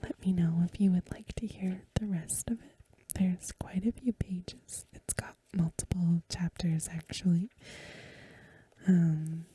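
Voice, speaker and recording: A woman reading aloud in a hushed, measured, breathy whisper, in the soft-spoken style of a bedtime ASMR recording. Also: lowish voice, fairly even intonation, rough recording.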